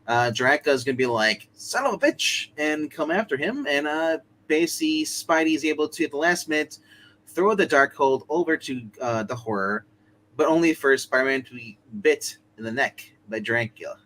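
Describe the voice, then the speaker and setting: A man working as game master, narrating a tabletop roleplay story in a casual, halting way that circles back on itself. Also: mid-range voice, fairly bright tone, poor audio. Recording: clear background noise.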